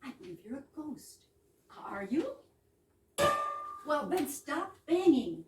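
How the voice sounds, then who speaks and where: A woman's voice: high voice, very animated, takes it slowly, over music, exaggerated voice. A woman playing a flippant, playful young lady chatting from her bath in an amateur readers theater comedy. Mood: bold, demanding. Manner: saucy, breezy, playful. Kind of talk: amateur readers theater of a 1920s comic play. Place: indoor readers theater stage, distant microphone. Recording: roomy distant stage recording, faint noise.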